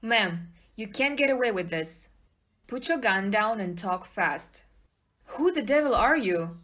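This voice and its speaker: Audio play inspector played by a woman: medium pitch, excitable, bossy, given to blustering exclamations. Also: a little slow. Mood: bossy, firm.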